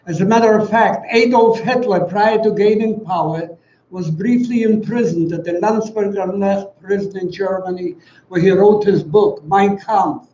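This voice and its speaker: Man around 90, Polish accent, mellow timbre, grave and measured orator at a ceremony; dull, far-off recording with faint noise. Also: high voice, roomy sound. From Poland. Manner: solemn, deliberate, formal, with emphatic pauses. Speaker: guest speaker, Holocaust survivor giving testimony. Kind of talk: prepared commemorative speech.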